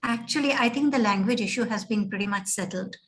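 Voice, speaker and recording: A woman's voice: mid-range voice, fast, a bit bright, slight background noise. A woman in a discussion, asking direct, pointed questions.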